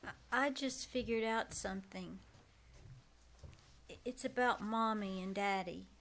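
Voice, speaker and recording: A woman's voice: medium pitch, unhurried, fairly bright tone, slight background noise. A female performer playing a sad, timid little girl in an old-time radio drama, speaking hesitantly with small stammers. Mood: sad, resigned.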